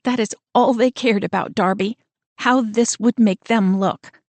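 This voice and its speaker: A woman's voice: medium pitch, fairly even intonation, moderate pace, darkish tone, no room sound, lightly acted. A woman voicing a frightened young woman who talks in a shaky voice close to tears. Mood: tearful. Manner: shaky, close to sobbing, then bitter. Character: frightened, tearful young woman, a protective older sister.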